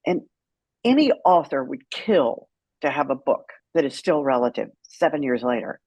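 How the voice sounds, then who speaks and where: A woman's voice: rumbling low, animated, moderate pace, a little mellow, breathy edge, dry recording. A woman speaking as an interview guest, telling her story in a candid, outspoken and emphatic way. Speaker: guest, author and caregiver advocate.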